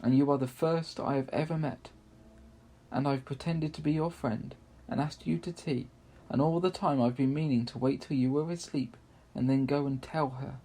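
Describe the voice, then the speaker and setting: A man voicing a gentle storybook fawn who is guilt-ridden and tearful, wailing and blaming himself. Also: mid-range voice, fairly even intonation, normal speed, natural voice, rough recording. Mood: guilty, distressed. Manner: tearful, wailing, self-reproaching.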